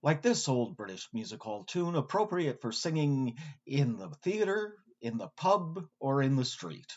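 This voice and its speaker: Expressive male narrator, slightly dull recording. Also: mid-range voice, unhurried. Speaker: podcast narrator. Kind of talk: scripted narration about pantomime.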